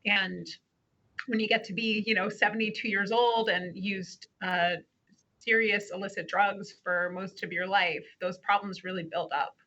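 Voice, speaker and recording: A woman's voice: mid-range voice, flat delivery, measured pace, bright, forceful tone, lo-fi sound. A woman newspaper reporter explaining her reporting in a remote news interview, with frequent "you know" fillers.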